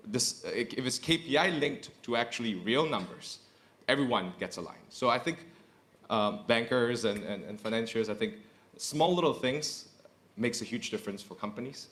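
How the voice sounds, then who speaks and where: A man's voice: medium pitch, lively intonation, normal speed, fairly bright tone. A man, speaking earnestly as a corporate executive on a panel and repeating phrases for emphasis. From Indonesia. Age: 30s. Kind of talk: panel discussion.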